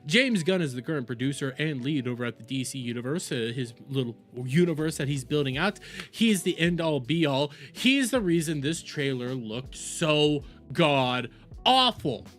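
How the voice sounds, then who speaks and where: Guy doing opinionated stream commentary, really animated pitch, repeats himself for emphasis, faint music behind him. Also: moderate pace. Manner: emphatic, ranting, repeats phrases. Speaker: host of a reaction livestream.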